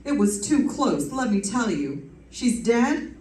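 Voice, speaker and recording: Roomy stage sound, actress as female radio detective, fairly high voice, brisk, wisecracking, cool-headed. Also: big pitch swings, a little mellow. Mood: wry. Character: wisecracking, brisk lady private detective, cool under fire. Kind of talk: old-time radio detective drama. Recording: roomy stage recording, distant mic.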